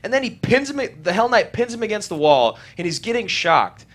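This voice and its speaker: A man's voice: high-pitched, sing-song, brisk, fairly bright tone. A man on a film review panel, telling things casually with slangy, exasperated retelling. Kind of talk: casual, animated storytelling in panel chat. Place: small studio, indoors.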